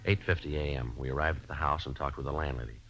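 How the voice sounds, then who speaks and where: A man playing a businesslike, polite police detective in a radio crime drama, speaking and narrating in a clipped, deadpan, matter-of-fact way. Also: extremely low pitch, fast, soft background music, heavily acted. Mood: flat, factual. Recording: muffled old-time radio recording.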